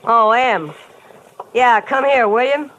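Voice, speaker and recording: A woman's voice: on the high side, slow, fairly bright tone, highs cut off. A woman playing a brash, bitter, tough-talking wife in old-time radio drama style, slangy and challenging. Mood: hostile. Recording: hissy vintage radio recording.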